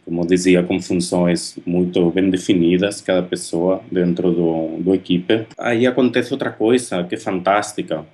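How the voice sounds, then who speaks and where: Spanish-accented male artist, 30s, low voice, thoughtful, halting webcam talk. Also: a little mellow. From Spain. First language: Spanish or Catalan. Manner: halting, thoughtful, many fillers and restarts. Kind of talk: reflective monologue to camera. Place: indoor room at home. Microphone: webcam at close range.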